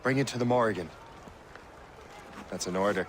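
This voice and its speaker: An actor playing a resolute, principled young soldier-adventurer in a video game, speaking firmly and earnestly. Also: male voice, lowish voice, normal speed, background noise, close to an everyday voice. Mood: firm, commanding. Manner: earnest, firm, commanding.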